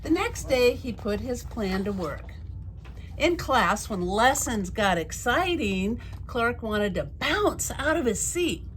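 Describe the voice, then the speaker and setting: A woman reading a children's picture book aloud as a storyteller, with an expressive, deliberate delivery. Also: on the high side, a little hiss or hum.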